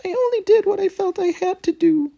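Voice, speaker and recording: A man's voice: extremely high pitch, a little fast, gravelly, mellow, pitched above the performer's own voice. A man voicing a small, timid male mouse in a storybook, meek and quavering. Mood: apologetic.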